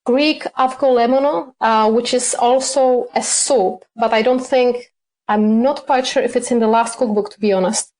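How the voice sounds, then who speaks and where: A woman's voice: on the high side, fairly even intonation, moderate pace, a little mellow. A woman, a cookbook author answering interview questions, hesitant with many fillers and self-corrections. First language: Czech.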